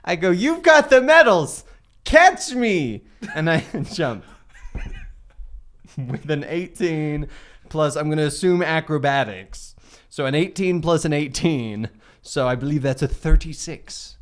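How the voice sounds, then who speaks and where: A man's voice: on the high side, animated, unhurried, a bit bright. A man chatting casually as a player in a tabletop game, playful and theatrical.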